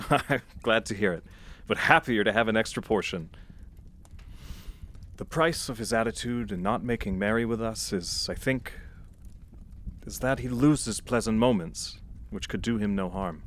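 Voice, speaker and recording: An actor playing a cheerful, warm-hearted nephew in an audio drama, speaking in a jovial, earnest manner. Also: male voice, lowish voice, a little slow, long pauses, slight background noise. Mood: earnest, merry.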